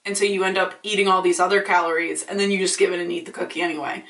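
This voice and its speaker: A woman in her late twenties, vlogging in a chatty, animated, ranty way, swearing freely and a bit congested from allergies. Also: fairly low pitch, racing. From Michigan. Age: 20s. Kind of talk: casual ranting vlog. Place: small indoor room. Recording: roomy echo, slightly distant.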